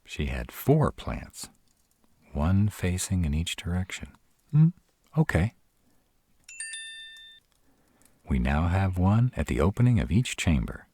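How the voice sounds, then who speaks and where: Casting: male actor as gruff tsundere were-dragon boyfriend, animated swings in pitch, close dry sound, tender and guarded, romantic audio drama. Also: no echo, heavily acted. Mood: curious, impressed. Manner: tsundere, teasing yet caring, hesitant pauses. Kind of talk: romantic fantasy audio roleplay, speaking to the listener.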